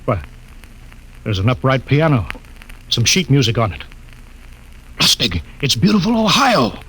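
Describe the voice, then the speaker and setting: An actor playing a brisk, authoritative male spaceship captain in a 1950s radio drama. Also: mid-range voice, sing-song, a bit bright, breathy edge, heavily acted, poor audio. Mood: bewildered.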